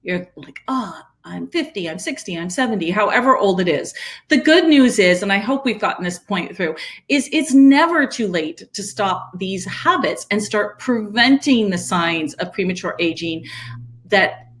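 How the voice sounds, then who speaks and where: A woman's voice: medium pitch, animated, normal speed, a bit bright. Woman in her fifties, webinar co-host, upbeat, persuasive, speaks straight to viewers. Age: 50s. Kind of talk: promotional webinar chat.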